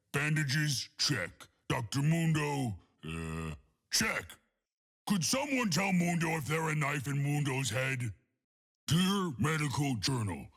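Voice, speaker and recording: An actor playing a huge, deranged, dim but cheerful brute who thinks he is a doctor, in a video game, speaking gravelly broken childlike sentences. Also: fairly bright tone, heavily acted. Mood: pondering, pleased. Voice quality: gravelly, raspy. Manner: childlike broken grammar, booming exclamations. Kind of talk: exaggerated video game voice lines.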